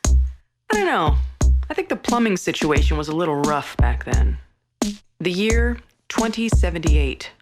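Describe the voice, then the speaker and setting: Loud instrumental music runs under a woman telling a satirical story. Her voice sits a little low, and she delivers the comic monologue in a folksy, deadpan way.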